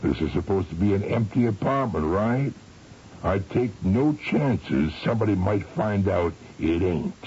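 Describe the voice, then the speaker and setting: Cocky tough-guy hitman, lowish voice, slangy, old radio play with a bit of hiss. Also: animated, unhurried, warm tone. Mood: cool, assured. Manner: smug, slangy, tough-talking. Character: cocky, cold-blooded hired gunman, slangy tough guy. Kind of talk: 1970s radio drama acting. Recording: dull, hissy old radio recording.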